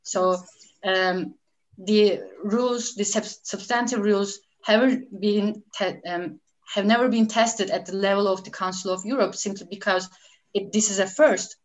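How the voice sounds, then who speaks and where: A woman lawyer from Turkey, speaking English with a Turkish accent as a guest on an online panel, measured with occasional fillers. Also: mid-range voice, fairly even intonation, poor audio. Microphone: video call.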